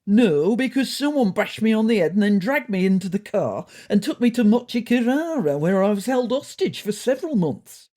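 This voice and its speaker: Woman voicing a pompous, grumpy tomcat, husky and indignant. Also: mid-range voice, big pitch swings, moderate pace, hardly any pauses, warm tone, pitched above the performer's own voice. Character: pompous, self-righteous, grumpy old tomcat.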